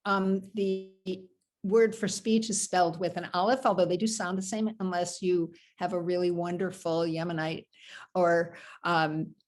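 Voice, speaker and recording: A woman's voice: lowish voice, flat delivery, measured pace, darkish tone. An older American woman, a rabbi, leading an informal Torah study on a video call, talking in a loose, meandering way with many ums and asides. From United States.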